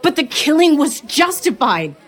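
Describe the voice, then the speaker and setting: Guilt-stricken female TV editor, urgent and pleading, high-pitched and very animated, audio drama. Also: measured pace, some chatter behind. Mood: frantic disbelief. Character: distressed, guilt-ridden female TV news video editor.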